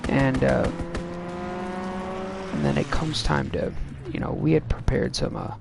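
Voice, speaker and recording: A man's voice: medium pitch, steady tone, a little slow, gravelly. A young man telling a rambling story full of fillers into a gaming mic while he plays a shooter game. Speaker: gaming vlogger. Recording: game music loud in the background. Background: game music.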